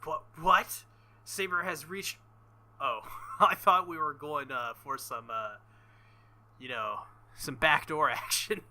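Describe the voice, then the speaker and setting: A man reading a visual novel aloud with casual commentary, halting and embarrassed, often breaking into nervous laughter. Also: a little high, sharp tone. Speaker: let's play host.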